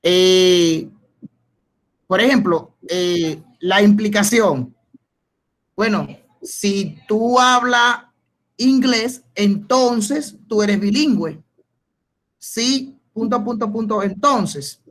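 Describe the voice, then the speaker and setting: A man with a Dominican accent gives an informal, halting explanation as a student in an online class, often repeating and rephrasing. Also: sits high, a bit bright. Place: video conference call.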